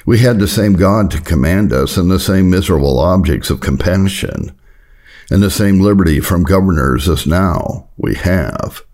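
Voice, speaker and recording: A man reading an old sermon aloud as an audiobook narrator, in a solemn, earnest, measured and formal way. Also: sits low, darkish tone. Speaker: audiobook narrator of Puritan writings.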